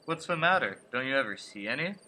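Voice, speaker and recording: An actor playing a haunted man in his thirties from Brooklyn, narrating a radio play in earnest, deliberate phrases. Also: mid-range voice, lively intonation, pushed and bright, poor audio. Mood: probing. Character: haunted 36-year-old man from Brooklyn, anxious but insisting he is sane. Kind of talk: radio play acting, first-person dramatic narration. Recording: music behind the voice.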